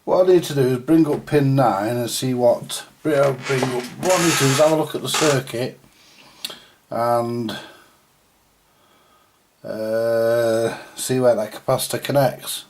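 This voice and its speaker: A man giving a casual, halting, mildly sweary thinking-aloud commentary as he does hands-on repair work, often muttering to himself. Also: medium pitch, darkish tone, slight background noise. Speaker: repairer presenting a hands-on video. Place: indoor repair workbench.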